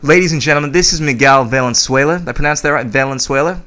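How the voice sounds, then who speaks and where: A man's voice: fairly high pitch, fast talker, pushed and bright. A man hosting a podcast, chummy and slangy, often calling his guest "bro". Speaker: podcast host. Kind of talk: casual interview. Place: podcast studio.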